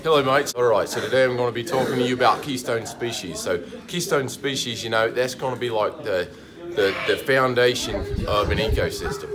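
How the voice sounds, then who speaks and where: A man's voice: mid-range voice, fairly quick, a crowd in the background. A teenage boy presenting a school project in a classroom, loose and joking, with casual filler words, filmed close on a phone. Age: teens. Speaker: student presenter.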